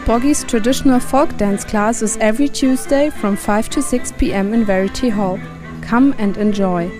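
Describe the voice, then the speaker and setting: A woman who narrates a short documentary in a clear, even presenting tone and asks the interview questions. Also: on the high side, normal speed, over music.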